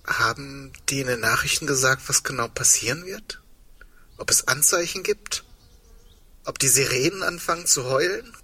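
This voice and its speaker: A man's voice: medium pitch, moderate pace, sharp tone, slightly rough. An actor playing a wry, reflective man facing impending doom in a naturalistic radio play, speaking in an understated, rambling way. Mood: uneasy, wry.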